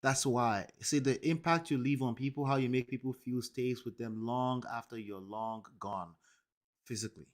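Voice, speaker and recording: A man's voice: mid-range voice, moderate pace. A man hosting a live stream, talking emphatically to his viewers and often checking in with "okay?" and "right?". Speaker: livestream host. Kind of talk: casual commentary to a live chat.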